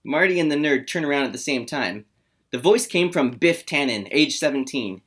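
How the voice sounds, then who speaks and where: A man's voice: on the high side, animated, moderate pace, a bit bright. A man reads scene descriptions and stage directions from a script as narrator. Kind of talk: scripted narration, screenplay read aloud.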